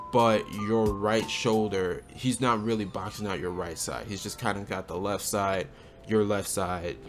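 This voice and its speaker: A man with a slightly hoarse voice from a cold, giving a relaxed, conversational game tutorial as a channel host. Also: fairly low pitch. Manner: relaxed, conversational, often asks "right?". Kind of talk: casual game tutorial commentary. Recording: music playing under the voice.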